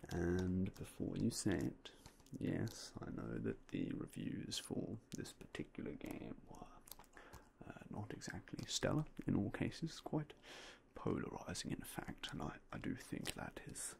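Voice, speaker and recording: A young man softly whispering in a polite ASMR roleplay as a game store clerk, close to a binaural mic. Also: sits low, wildly swooping pitch, a little slow, creaky, slight background noise. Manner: soft, polite, mostly unvoiced whispering.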